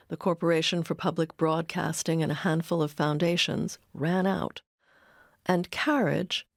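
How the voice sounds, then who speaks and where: A woman's voice: on the low side, dry recording, studio quality. A woman delivering scripted narration as a documentary narrator.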